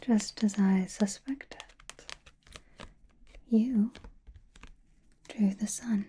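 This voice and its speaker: Casting: woman as knowing, gently teasing sorceress, ASMR roleplay. Dark, breathy whisper, even and soothing. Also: medium pitch, a little hiss or hum. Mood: gentle, knowing. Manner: slow, deliberate, soothing. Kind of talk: ASMR roleplay, personal attention.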